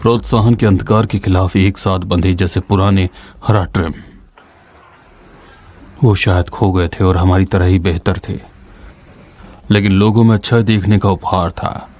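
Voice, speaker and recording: An actor playing a wise, weary old scholar in a fantasy game dub, husky, solemn and reflective. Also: male voice, low-pitched, fairly even intonation, moderate pace, pauses often, darkish tone, close to an everyday voice. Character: wise, weary old male scholar mentoring a younger ally. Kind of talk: dramatic fantasy game narration.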